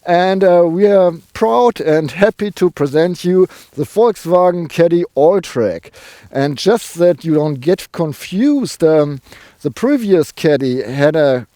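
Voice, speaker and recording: A man's voice: fairly high pitch, lively intonation, slow, dark tone, dry recording. Chatty man with a strong German accent. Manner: chatty, many fillers, self-deprecating. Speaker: car review host. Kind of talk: casual car review presentation. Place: outdoors near a river.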